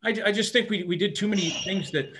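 A man's voice: high-pitched, a bit bright, husky. A middle-aged man answers reporters after a game as head coach. He speaks plainly and frankly, often restarting sentences and repeating words. He is on a webcam video call. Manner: halting, repeats words, many fillers. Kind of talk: postgame press conference answers. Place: indoor room in front of team backdrop.

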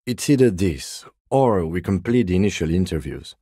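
A man playing a composed, meticulous police lieutenant detective in a video game, speaking in a precise, deadpan, politely reserved way. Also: deep voice, moderate pace, husky, no room sound, clean studio sound, heavily acted. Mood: businesslike. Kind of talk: video game character dialogue.

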